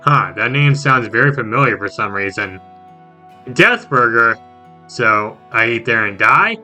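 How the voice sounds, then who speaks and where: A man's voice: medium pitch, animated, measured pace, sharp tone. A man narrating a scripted video game review in an enthusiastic, jokey and exclamatory manner. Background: music under the voice.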